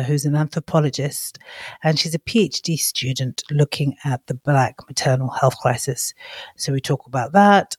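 A woman podcast host giving a brisk, upbeat, scripted introduction to the episode. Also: low voice, a little mellow, no room sound, studio quality.

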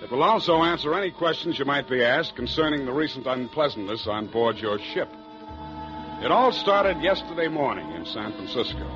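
An actor playing a cocky, wisecracking private detective with a dry, sardonic delivery in a 1940s radio drama. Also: male voice, medium pitch, lightly acted. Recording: muffled old radio broadcast recording with music.

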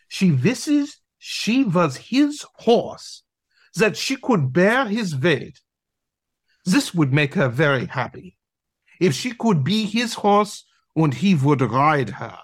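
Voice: medium pitch, very animated, slow pace, husky, no echo, heavily acted. A performer playing a pompous, lecherous male dog who teaches acting, with theatrical, commanding delivery in an audio play. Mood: urging, excited.

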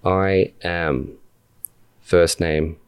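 Guy doing guided meditation, deep voice, calm n deliberate, lots of pauses, really dry close sound. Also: clean tone, dry recording. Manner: calm, deliberate, with long pauses between short phrases. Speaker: meditation guide and podcast host.

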